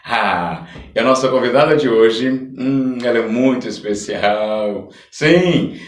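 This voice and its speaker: A Brazilian man hosting a podcast as a casual interview chat. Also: mid-range voice. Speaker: podcast host. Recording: echoey room, distant mic.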